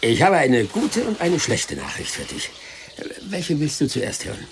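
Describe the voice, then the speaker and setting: An actor playing a chummy, boastful old backwoods rascal in an audio drama, blunt and colloquial. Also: male voice, a little high, wildly swooping pitch, unhurried, background noise. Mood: chummy, hesitant. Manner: colloquial, blunt, interjection-filled. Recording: radio drama production with music.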